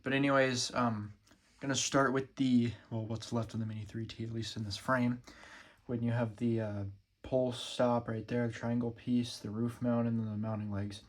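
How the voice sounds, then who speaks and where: A man's voice: medium pitch, little pitch movement, normal speed. A young man with a sore throat, talking casually through a show-and-tell vlog with plenty of ums and uhs. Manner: rambling, many ums and uhs, loose and casual. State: sore throat, feeling sick. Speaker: siren collector vlogger.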